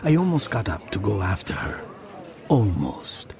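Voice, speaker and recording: An actor playing a brooding, jealous young man, narrating his past in a slow, hushed audio drama style. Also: on the low side, big pitch swings, crowd noise. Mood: rueful. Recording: music behind the voice.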